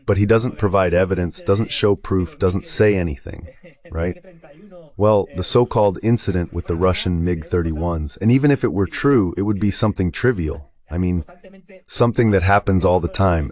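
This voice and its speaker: A man's voice: deep voice, darkish tone. A man reading a translated voice-over of political commentary in an emphatic, conversational way.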